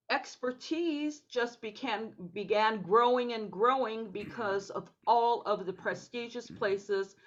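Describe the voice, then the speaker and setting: Dance teacher lady on a video call, talks real slow and measured, kinda muffled audio. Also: mid-range voice, a bit bright. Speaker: guest, dance educator. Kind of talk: interview storytelling.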